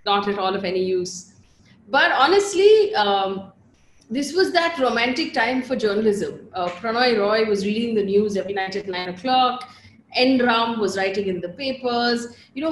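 A woman from Bangalore with a Bangalore accent, a journalist telling her story as an interview guest in a chatty, animated way, laughing often and trailing off with fillers. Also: on the high side, reverberant, slight background noise, poor audio. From Bangalore, India. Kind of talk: casual interview chat.

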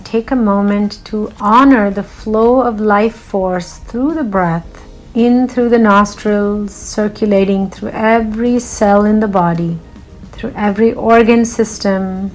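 A female meditation guide speaks very slowly and soothingly at a medium pitch. She leaves long pauses between phrases, with faint music underneath. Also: rough recording.

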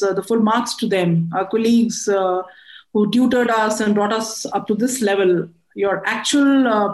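A middle-aged woman with an Indian accent answers interview questions in a formal, respectful way. Her voice sits at a medium pitch and carries a roomy echo. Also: a little mellow. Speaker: interview guest, air force air traffic control officer.

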